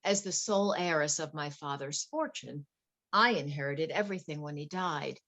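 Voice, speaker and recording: A woman's voice: sits low, normal speed, a bit bright. A woman playing a wealthy, anxious wife in a play reading, earnest, polite and flustered. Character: wealthy, anxious wife confiding fears about her husband.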